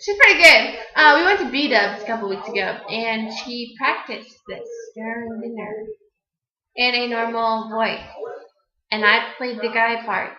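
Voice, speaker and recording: A woman's voice: fairly high pitch, a little slow, bright, forceful tone. A young woman hosting a casual vlog with friends, chatty and giggly, in a small room at night on a laptop webcam. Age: young adult. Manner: chatty and giggly, breaks into singing. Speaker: vlog host. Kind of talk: casual chat. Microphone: laptop webcam at desk distance. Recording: roomy, dull lo-fi webcam sound.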